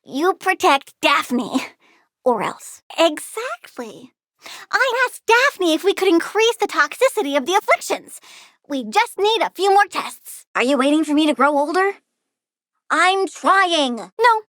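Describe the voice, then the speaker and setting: Game villain: snarky, cutesy young plant girl, lively and high-pitched, dry close sound, playful menace. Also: a little slow, no echo, full character voice. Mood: sweetly threatening. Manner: childish, bratty, cutesy delivery. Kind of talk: video game character voice lines.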